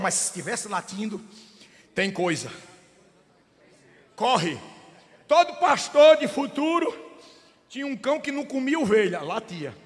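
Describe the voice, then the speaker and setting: High-pitched Brazilian preacher, shouting then quiet. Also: male voice. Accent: Brazilian Portuguese. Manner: swings from shouting fervor to low conversational asides. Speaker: pastor. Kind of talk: Pentecostal sermon. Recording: echoey church hall.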